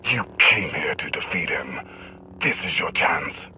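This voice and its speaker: An actor playing a cold, ambitious usurper prince in a video game, speaking in formal, menacing, theatrical oratory. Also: male voice, extremely low pitch, lively intonation, unhurried, pushed and bright, a little hiss or hum, heavily acted. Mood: cold, scheming. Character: ambitious male prince usurping his father's throne, cold. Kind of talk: video game cutscene acting.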